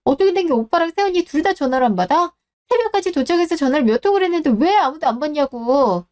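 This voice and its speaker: A woman voicing a blunt, sharp-tongued daughter in her fifties in a radio drama who speaks in an exasperated way. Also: high-pitched, expressive, fast, voice pushed up. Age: 50s.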